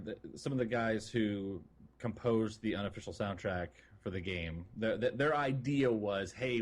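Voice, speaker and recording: Male podcast co-host, low voice, informal and enthusiastic, loose conversational gaming chat.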